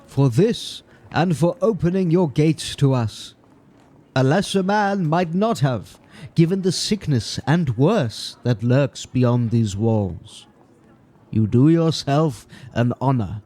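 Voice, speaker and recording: A male actor plays a wary friar in a medieval horror drama. His voice is raspy-edged and swings widely in pitch as he speaks slowly. He is formal, solemn and earnest. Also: lively intonation, dark tone. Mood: grateful, solemn. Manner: formal, measured, grave. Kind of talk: dramatic audio drama dialogue.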